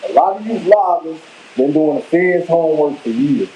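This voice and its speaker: Chicago male vlogger, slangy and emphatic, mellow, slightly high voice, faint hiss. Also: expressive, normal speed, muffled recording. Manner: rambling, emphatic, slangy, repeats himself. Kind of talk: casual live commentary. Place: home kitchen.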